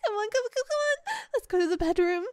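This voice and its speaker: Woman doing a super high sweet yandere girlfriend for asmr roleplay, clingy, gushy, fragile and obsessed. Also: expressive, fast talker, a little mellow, dry recording. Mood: overjoyed, clingy. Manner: gushing, sweet, hesitant, emotional, pet names.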